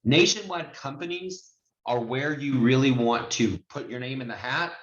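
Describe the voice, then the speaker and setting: A man's voice: medium pitch, normal speed, a bit bright. A man giving an informal online training lesson, explaining step by step in an emphatic, conversational way as a trainer. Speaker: notary trainer and host. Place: indoors, online video call with screen share. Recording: muffled, roomy video-call audio.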